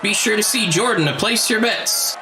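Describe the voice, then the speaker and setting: A man's voice: high-pitched, normal speed, background noise, close to an everyday voice. A man acting in an audio drama as a showy but loyal, brotherly fight-ring announcer. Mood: showy, announcing.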